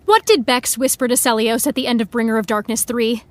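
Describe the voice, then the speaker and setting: An actress playing an eager, excitable young fan in a naturalistic audio drama. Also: female voice, a little high, fairly quick, dry recording, natural voice. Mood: breathless, eager.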